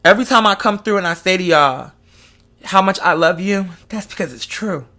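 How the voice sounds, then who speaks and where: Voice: mid-range voice, lively intonation, brisk, sharp tone. Emphatic, slangy young online host. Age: 20s. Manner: emphatic, slangy, profane, repeats phrases for emphasis. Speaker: online content creator and live show host. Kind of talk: candid, emotional monologue to followers.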